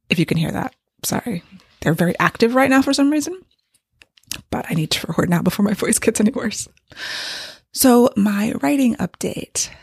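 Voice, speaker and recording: A woman talking casually about her writing in a candid, rambling way, her voice strained and gravelly from overuse. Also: fairly low pitch, darkish tone, no room sound, studio quality. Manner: candid and rambling, with light laughs. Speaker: novelist giving a writing update. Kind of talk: casual vlog monologue. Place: home with hardwood floors.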